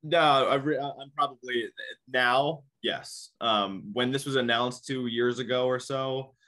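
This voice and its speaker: A man's voice: mid-range voice, fairly even intonation, a little slow, bright, forceful tone. A man in his thirties, a guest on a video call, talking casually in a rambling way with many restarts. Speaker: podcast guest. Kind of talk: casual interview chat. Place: small indoor room.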